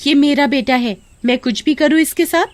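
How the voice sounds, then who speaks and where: A woman voicing a cruel, snappish young mother and sister-in-law in an animated story, scornful and scolding. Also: high voice, moderate pace, voice pushed up, close to an everyday voice. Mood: angry scolding.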